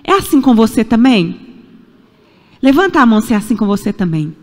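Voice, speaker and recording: High-voiced Brazilian woman preaching. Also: big pitch swings, a little fast. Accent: Brazilian Portuguese. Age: 40s. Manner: emphatic preaching with repetition and rhetorical questions. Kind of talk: sermon. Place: church sanctuary. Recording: echoey church hall.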